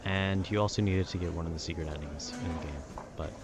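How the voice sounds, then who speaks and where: A man's voice: low-pitched, steady tone, fairly quick, music in the background. A man chatting casually in a laid-back, meandering, slangy way, as if rambling to a friend about video games. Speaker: audio roleplay creator.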